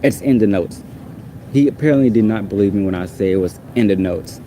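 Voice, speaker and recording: A man's voice: lowish voice, a little fast, dark tone, slight background noise. A man whose first language is Portuguese, ranting casually and with exasperation in a rambling, repetitive way. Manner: exasperated, rambling, repeats himself.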